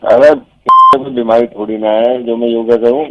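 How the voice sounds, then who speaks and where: A middle-aged man on a phone call, gruff and easily irritated. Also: mid-range voice, fairly even intonation, normal speed. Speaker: prank call target. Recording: muffled phone call with hiss.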